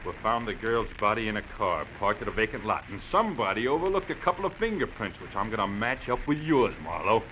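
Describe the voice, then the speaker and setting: An American actor playing a gruff, bullying police lieutenant in a hard-boiled radio drama, with a sneering, barking, slangy manner. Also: male voice, lowish voice, animated, brisk, few pauses, a bit bright. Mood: smug, forceful. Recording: noisy vintage radio recording.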